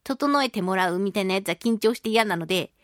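A woman's voice: medium pitch, animated, brisk, no room sound. A woman hosting a chatty radio talk show, speaking in a playful, theatrical way with mock-polite phrasing, close to a studio microphone. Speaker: voice actress. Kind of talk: chatty radio talk, reading listener mail.

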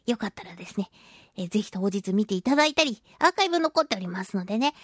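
A woman hosting a solo radio talk show, chatting and reading out listener mail with animated, playful reactions. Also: fairly high pitch, no echo, studio quality. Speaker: radio show host, voice actress.

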